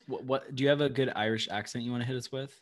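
A man's voice: mid-range voice, fairly even intonation, quick pace. Young male podcast co-host, mid-range voice, loose rambling storyteller. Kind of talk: casual chat.

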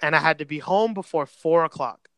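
Bright-voiced young man, easygoing slang. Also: on the high side, brisk, dry recording. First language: Spanish. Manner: laid-back, slangy, rambling storytelling. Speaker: podcast guest. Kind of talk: casual chat.

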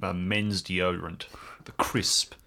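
A man's voice: low-pitched, level tone, takes it slowly, creaky, sharp tone. A man in casual, joking banter as a cast member on an audio drama podcast.

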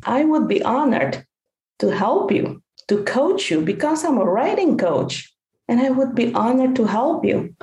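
A woman from the Netherlands with a Dutch accent, a guest telling her story in an animated way, acting out the dialogue she quotes. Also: a little high, a little slow. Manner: animated, acts out quoted dialogue, laughs often. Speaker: guest, caregiver coach and author. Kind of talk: storytelling in a podcast interview. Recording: echoey room.

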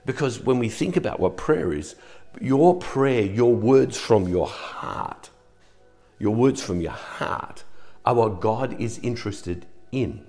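Croaky, deep-voiced man giving a devotion. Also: lively intonation, slow pace, soft background music. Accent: Australian. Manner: gentle, repetitive, earnest. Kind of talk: short devotional reflection and prayer.

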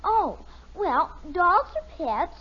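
A woman's voice: very high-pitched, sing-song, very slow, full character voice. A woman playing an earnest, persistent young daughter in a vintage radio sitcom, with a childlike voice and solemn, innocent delivery. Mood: pleading, insistent. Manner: recites facts solemnly, innocent. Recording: muffled old radio broadcast recording.

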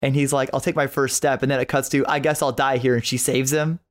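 A man's voice: medium pitch, little pitch movement, quick pace. Man chatting enthusiastically about anime. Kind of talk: casual chat about an anime.

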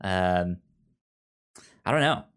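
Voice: sits low, expressive, very slow, a bit bright, smooth. A podcast co-host casually discussing books in a hesitant, stammering way.

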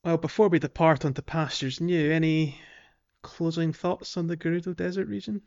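A man's voice: a little high, fairly even intonation, moderate pace, gravelly, a little mellow, lo-fi sound. A man hosting a casual gaming podcast, chatty and steering the conversation. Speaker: podcast host.

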